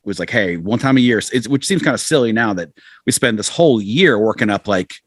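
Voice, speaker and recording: A man's voice: fairly low pitch, animated, brisk, breathy edge, no room sound. An American man in his forties chatting casually as an interview guest, rambling and self-deprecating as he tells stories. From southern United States. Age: 40s. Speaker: podcast guest, rock musician.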